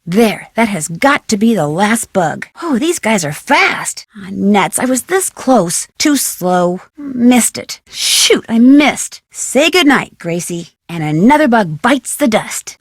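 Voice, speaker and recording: An American woman playing a curious, plucky, polite young detective in an adventure video game, with clear, inquisitive delivery. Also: a little high, sing-song, slow pace, few pauses, dry recording, clean studio sound, exaggerated voice. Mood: determined, playful. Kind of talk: scripted adventure game dialogue.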